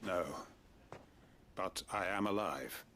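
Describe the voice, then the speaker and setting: An actor playing a wounded, weary male soldier in a video game who speaks slowly and heavily. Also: sits low, bright, forceful tone, full character voice. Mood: grieving. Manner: slow, heavy, dejected.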